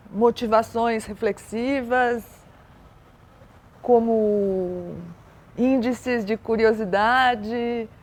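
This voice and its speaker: Older brazilian woman, slow thoughtful answers, slightly high voice. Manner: thoughtful, measured, unhurried. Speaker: writer guest. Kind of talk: reflective interview answer.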